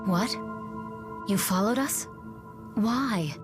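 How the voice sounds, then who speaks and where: Gentle, formal female spirit. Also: medium pitch, music in the background. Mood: concerned. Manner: polite, measured, formal. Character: gentle, courteous, composed female elemental spirit. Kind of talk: video game voice acting.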